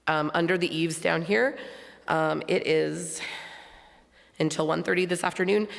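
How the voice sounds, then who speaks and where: Hoarse, very creaky woman in a reverberant church. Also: lowish voice. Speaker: congregation board member making announcements. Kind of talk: informal spoken church announcements. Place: large church sanctuary. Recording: long hall reverb from church sound system.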